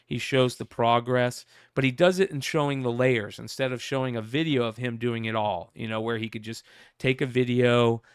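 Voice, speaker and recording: A man's voice: mid-range voice, steady tone, moderate pace, clear, resonant tone, no room sound, clean studio sound. A man hosting a casual comic news talk show, rambling and reading out a message in his plain voice. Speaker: host.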